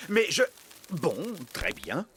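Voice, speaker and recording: A man's voice: a little high, wildly swooping pitch, slow pace, background noise, exaggerated voice. Grumbling fairy king, wildly swooping voice. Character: grumbling fairy king, middle-aged father, easily bored. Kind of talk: French cartoon dub acting. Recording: loud music behind the voice.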